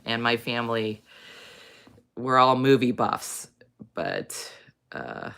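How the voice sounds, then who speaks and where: A woman hosting a casual chat, rambling with many fillers, in a low morning voice. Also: fairly bright tone. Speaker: host. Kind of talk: casual chat reading viewer comments.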